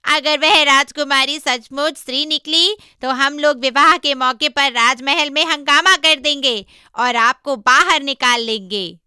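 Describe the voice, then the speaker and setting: A woman's voice: fairly high pitch, slow, sharp tone, smooth, no room sound, clean studio sound, voice pushed up, exaggerated voice. A woman voicing a clever, cheeky male monkey disciple in a storybook tale, speaking emphatically and cajolingly. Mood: pleading.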